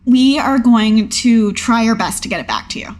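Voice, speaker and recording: A woman's voice: on the high side, animated, normal speed, darkish tone. A woman playing a tabletop role-playing game, bantering casually in an earnest, playful way.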